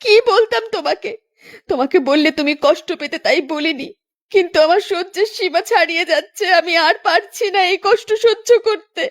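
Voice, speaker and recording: Woman playing wronged young cartoon heroine, extremely high voice, plaintive, earnest, long-suffering. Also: normal speed. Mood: pained, reproachful. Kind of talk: animated melodrama acting.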